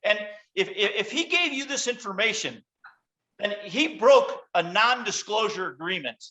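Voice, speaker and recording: A man's voice: sits high, expressive, normal speed, sharp tone. An actor playing an evasive politician under pressure in a script read, stammering and flustered as he talks. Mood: desperate, pleading. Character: cornered, evasive male senator running for president. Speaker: actor in a virtual table read. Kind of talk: screenplay table-read acting.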